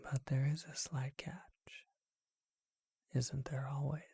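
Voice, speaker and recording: A performer voicing a charming, courteous, bookish vampire shopkeeper in a breathy, husky whisper, with genteel and hesitant phrasing, for an ASMR roleplay. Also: mid-range voice, level tone, slow, warm tone, full character voice. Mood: coaxing, courteous. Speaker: bookshop owner.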